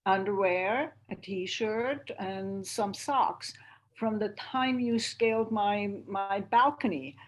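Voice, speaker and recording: A woman's voice: medium pitch, slow pace. A woman playing an exhausted, sarcastic young mother in a dramatic script read over a video call. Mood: scornful, sarcastic. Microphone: home microphone on a video call.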